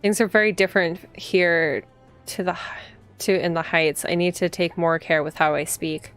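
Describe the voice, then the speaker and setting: A woman reading as an earnest, uneasy temple police officer who narrates a visual novel in a measured way. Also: on the low side, steady tone, fairly bright tone, smooth, faint music behind, natural voice.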